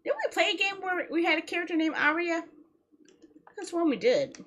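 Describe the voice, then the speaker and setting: A woman's voice: very high-pitched, lively intonation, moderate pace, a bit bright. A woman hosting a video game livestream, chatting casually with viewers in a playful, giggly way. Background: music.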